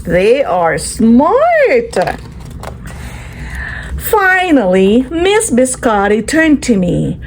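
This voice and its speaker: High, very animated woman reading to children. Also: a little hiss or hum. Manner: expressive, encouraging, teacherly. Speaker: children's read-aloud storyteller. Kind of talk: storybook read-aloud with asides to children.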